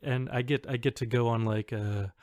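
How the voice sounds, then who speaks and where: A man co-hosting a podcast in casual banter, halting and joking as he thinks aloud. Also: mid-range voice, fairly even intonation, darkish tone, no echo, clean studio sound. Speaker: podcast co-host.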